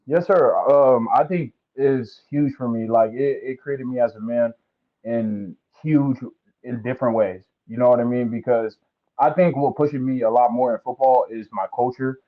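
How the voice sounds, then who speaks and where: Brief: young man from American Samoa, relaxed and easygoing, on a tinny phone-like remote line. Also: medium pitch, moderate pace. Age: young adult. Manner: laid-back, many "you know" fillers. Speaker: football recruit guest. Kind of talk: casual interview.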